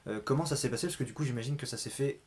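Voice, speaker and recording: A podcast host interviewing a guest. The host asks long, rambling questions and hesitates often, in a relaxed tone. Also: medium pitch, racing. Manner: hesitant, many fillers. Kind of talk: casual interview.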